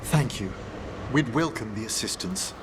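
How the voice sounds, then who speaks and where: A man's voice: lowish voice, expressive, a little slow, background noise. An actor playing a courteous, scholarly male necromancer in a video game, with formal, refined and articulate speech. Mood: grave, courteous.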